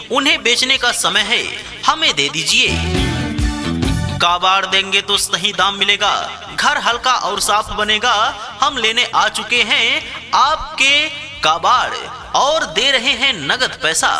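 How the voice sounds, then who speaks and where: A man delivers a scripted street hawker's advertisement in a high-pitched voice. He calls out short, repetitive sales phrases at a slow pace, like an announcement meant for a loudspeaker cart. Music plays clearly behind him. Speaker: street announcer for a scrap buyer. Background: background music.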